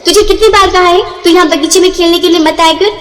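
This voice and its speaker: A woman's voice: very high voice, fairly even intonation, quick pace, fairly bright tone, long reverb tail, voice pushed up. A woman voicing a mean, scornful village girl, harsh and scolding, in a moral story. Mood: angry.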